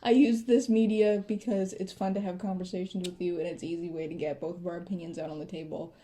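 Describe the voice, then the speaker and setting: Teen girl, giggly casual talk, kinda mellow voice. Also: lowish voice, fairly even intonation, fast. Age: teens. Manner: giggly, informal. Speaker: student host. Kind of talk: casual chat.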